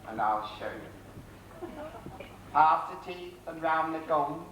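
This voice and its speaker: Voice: mid-range voice, lively intonation, slow. An actor playing a bustling comic nurse in a stage pantomime, scolding and repeating words in flurries, recorded in a theatre. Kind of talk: broad stage pantomime comedy. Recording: roomy theatre from far off, noisy audience.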